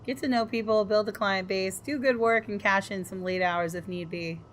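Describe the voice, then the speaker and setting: A woman hosting a gaming video, reading aloud and commenting in a casual, expressive way. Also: medium pitch, normal speed, slight background noise. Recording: voice over guitar game music.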